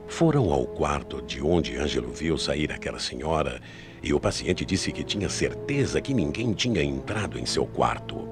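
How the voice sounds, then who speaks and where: A Brazilian man narrates a radio mystery with dramatic, scripted delivery. His voice is extremely deep, and music plays clearly behind it. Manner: dramatic storytelling with rhetorical questions.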